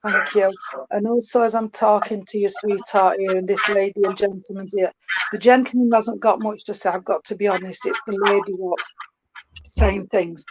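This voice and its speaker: A woman works as a medium, speaking in a tender, endearing way and sprinkling darling and sweetheart through her reading. Her voice sits at an ordinary middle pitch. It comes through a dull, lo-fi online stream with a faint hiss behind it. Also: fairly even intonation, normal speed. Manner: affectionate, calls the sitter darling and sweetheart. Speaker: spiritualist medium.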